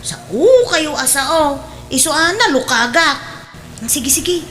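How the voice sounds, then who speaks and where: A woman's voice: high-pitched, a little slow, bright, forceful tone, soft background music. A woman playing a cheerful, cheeky young housemaid in an Ilocano radio drama. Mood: insistent.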